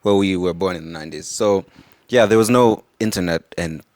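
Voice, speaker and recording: A man's voice: low-pitched, brisk, a little mellow, no echo. A man in his thirties from Morocco, co-hosting a podcast in an easygoing, casual way, with many fillers. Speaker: podcast co-host. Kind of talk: casual chat.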